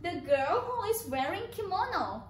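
Very high-pitched Thai-accented woman teacher. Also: very animated, moderate pace. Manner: exaggerated, sing-song teacher talk with simple repeated phrases. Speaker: kindergarten English teacher. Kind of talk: online children's lesson. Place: small indoor room beside a presentation screen. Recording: roomy, distant mic, background noise.

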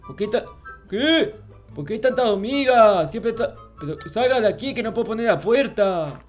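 A man's voice: sits high, lively intonation, moderate pace, faint music behind. A man voicing a childish, clueless, grumpy little game character, stammering and repeating himself in a Minecraft roleplay. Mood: puzzled, complaining. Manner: babyish, stammering, repetitive, easily flustered. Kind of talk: improvised Minecraft roleplay narration.

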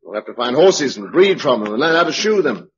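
A man's voice: medium pitch, big pitch swings, a little fast, a little hiss or hum, lightly acted. Fiery British agitator played by a man for vintage radio drama; swinging, argumentative delivery, muffled recording. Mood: urgent, persuasive. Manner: emphatic, argumentative, speechifying. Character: hot-tempered forceful male organizer urging survivors to rebuild. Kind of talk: 1950s-60s British radio drama acting. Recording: dull old radio broadcast recording.

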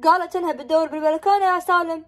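A woman playing a stern, worried father in a homemade family skit, quick and exasperated. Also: very high voice, fairly even intonation, fairly bright tone. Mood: alarmed.

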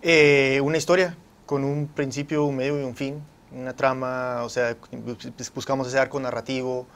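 A man's voice: medium pitch, level tone, normal speed, a bit bright. A young man, a guest in a TV studio interview, answering casually and repeating words as he searches for them. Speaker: interview guest, radio director. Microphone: lapel.

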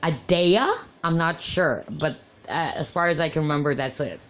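Low-pitched woman, native Tagalog speaker, chatty vlog storyteller, slightly muffled audio. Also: normal speed. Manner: chatty, laughing often, many fillers and restarts. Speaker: crystal vlogger. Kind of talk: casual personal storytelling.

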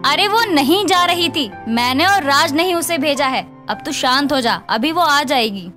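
A quick-tempered cartoon family woman, acted by a woman speaks emphatically and dramatically. Her voice is high and piercing, with soft music behind it. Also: expressive. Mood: scolding.

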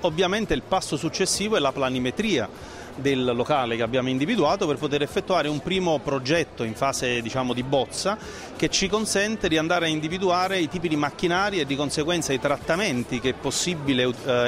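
A man's voice: fairly high pitch, a little fast, noisy background. A middle-aged man explaining a business as an interview guest, speaking into a handheld microphone. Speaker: franchise consultant, interview guest. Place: trade fair stand indoors. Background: background music.

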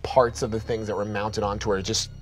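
A man running a tabletop game, narrating the action in a halting, descriptive way. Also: lowish voice, level tone, soft background music. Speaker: game master. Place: studio set at a table.

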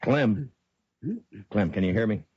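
A very deep-voiced marshal of the Old West, stern and unhurried. He speaks in terse commands with a husky edge. The sound is a muffled old radio drama recording. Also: no echo. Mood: steady, businesslike. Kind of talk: 1950s radio western acting.

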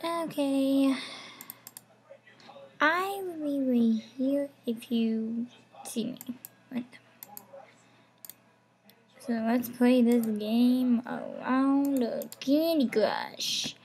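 A woman's voice: high voice, big pitch swings, extremely slow, pauses often, a little mellow. A young woman losing her voice, chatting casually over gameplay with rambling, half-whispered asides from a webcam at home. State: losing her voice, sore throat. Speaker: gaming channel host. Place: home room.

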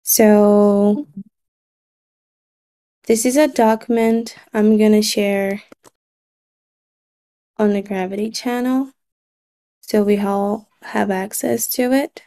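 A woman from Brazil with a Brazilian accent, a native Portuguese speaker, leading an online meeting recap in a measured, slightly halting way over a video call. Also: mid-range voice, steady tone, mellow. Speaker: meeting facilitator.

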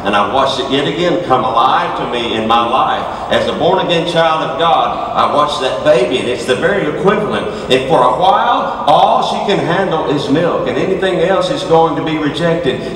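Older preacher booming through an echoey hall. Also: male voice, distant mic, slight background noise. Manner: swings from hushed low lines to shouted high peaks; moves about the stage gesturing while preaching. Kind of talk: sermon. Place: large dark auditorium stage.

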